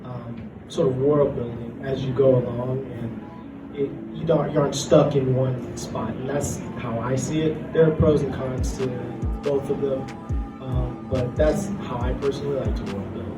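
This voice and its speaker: Man, convention panelist, informal explanatory delivery with pauses, distant mic in a reverberant space. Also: mid-range voice, fairly even intonation. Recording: echoey room from far off, music behind.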